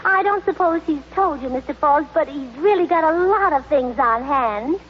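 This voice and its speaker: A muffled old radio comedy with clear background noise. a high-voiced society hostess, a flighty woman who gushes and chatters while quietly scheming. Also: animated, normal speed. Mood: sweetly scheming. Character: gushing, scatterbrained but scheming society hostess wife. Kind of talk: 1930s-1940s radio comedy acting.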